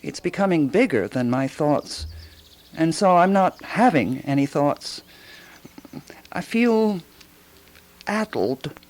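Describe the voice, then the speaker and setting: A woman's voice: low-pitched, expressive, slow pace, pauses often, a little mellow. An actress playing a fussy, self-important, eccentric woman sleuth in a radio drama, theatrical and given to pondering aloud. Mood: ponderous, musing.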